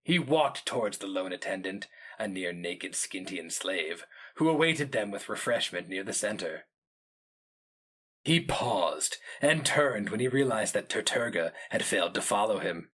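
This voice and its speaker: A male narrator delivers a dramatic fantasy reading. His voice sits a bit low yet has a bright, forceful edge. He is solemn and theatrical, with a very unhurried, deliberate pace. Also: expressive, slightly rough.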